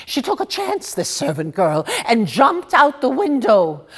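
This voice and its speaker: A woman's voice: a little high, wildly swooping pitch, measured pace, husky, full character voice. Woman putting on a bossy gossip, swooping voice. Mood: relishing horror. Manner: dramatic, scolding, emphatic. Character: loud, bossy, scolding gossip woman telling lurid tales. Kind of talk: theatrical storybook reading.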